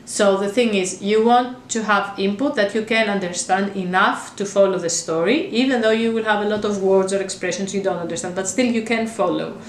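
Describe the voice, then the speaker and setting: Woman, English, casual vlog chat, rambling with fillers, middle pitch, echoey room. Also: fairly quick, few pauses, a little hiss or hum. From Greece. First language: Greek. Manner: chatty and meandering, with frequent fillers and restarts. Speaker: vlogger. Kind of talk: casual talk to camera. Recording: roomy echo.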